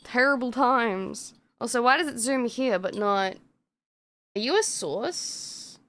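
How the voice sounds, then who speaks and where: A woman's voice: a little high, lively intonation, slow pace, fairly bright tone. A young woman streamer chatting casually with viewers, swearing freely and laughing often. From Australia.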